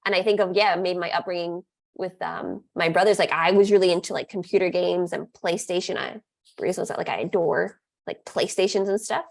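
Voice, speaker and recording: A woman's voice: on the low side, fairly quick, gravelly. A woman talking casually on a podcast as a co-host, chatty and full of "like" fillers. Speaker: podcast co-host, staff member.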